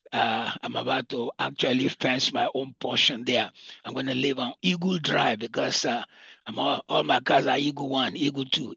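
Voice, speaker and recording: A man's voice: medium pitch, creaky, a bit bright, slightly rough, dry recording, lo-fi sound. A man from northern Nigeria with a Nigerian accent, speaking off the cuff as a property developer promoting an estate, with emphatic repetition and lists. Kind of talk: unscripted promotional talk at a roundtable.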